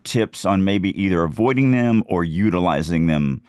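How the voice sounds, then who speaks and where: A man's voice: sits low, lively intonation, moderate pace, darkish tone, no echo. A man hosting a casual podcast chat about writing, speaking emphatically and acting out examples to make his points. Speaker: podcast host and writing coach.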